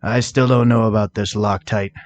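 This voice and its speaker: A man's voice: fairly low pitch, steady tone, normal speed, highs cut off, slight background noise, natural voice. A man voicing a nervous, gullible unicorn stallion thief, a reluctant sidekick in a cartoon fan story, anxious and hesitant. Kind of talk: cartoon fan fiction character voice.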